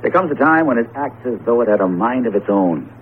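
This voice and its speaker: An actor playing a breezy, self-assured psychology professor who talks colloquially in a radio drama. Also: male voice, mid-range voice, expressive, fairly quick, close to an everyday voice. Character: breezy, skeptical male psychology professor, hearty eater. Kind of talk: 1970s radio drama acting. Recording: muffled noisy old radio broadcast.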